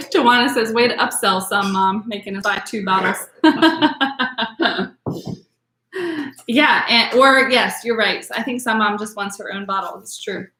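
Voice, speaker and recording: A woman's voice: medium pitch, slow pace, pauses often, sharp tone, reverberant. A woman teaching an online wine class in a chatty way, full of ums, calling on attendees by name. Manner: chatty, filler-heavy, addresses attendees by name.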